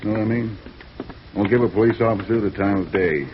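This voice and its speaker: A man's voice: on the low side, brisk, lightly acted. A man acting a matter-of-fact, world-weary police sergeant in a 1950s radio noir drama, terse and slangy. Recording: noisy, muffled old radio recording.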